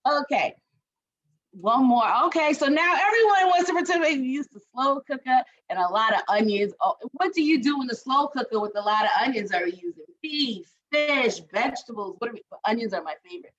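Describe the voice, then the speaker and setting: A woman's voice: high voice, animated, a little fast, a bit bright, lo-fi sound. A woman from Barbados, leading an online group workshop, chatty and encouraging as she reads the chat aloud. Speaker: workshop facilitator. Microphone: video call.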